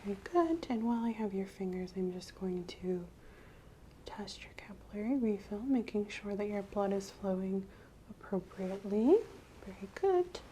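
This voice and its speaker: A woman doing a soft-spoken ASMR medical role-play as a clinician, gently reassuring and giving step-by-step instructions. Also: mid-range voice, expressive, moderate pace, a little hiss or hum. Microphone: clip-on mic.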